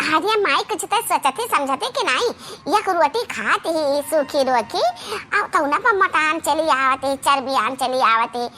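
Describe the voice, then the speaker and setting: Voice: sits high, animated, unhurried, hardly any pauses, bright, forceful tone, a little hiss or hum, exaggerated voice. A performer voicing a sly, tale-bearing young sister-in-law in a cartoon comedy, scheming and boastful, with an Awadhi accent. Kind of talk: exaggerated cartoon comedy acting.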